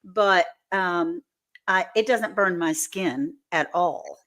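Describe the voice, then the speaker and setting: A woman's voice: on the low side, slow, a bit bright. A woman hosting a livestream, chatting casually and explaining things in a plain, reassuring way. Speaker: livestream host. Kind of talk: casual chat.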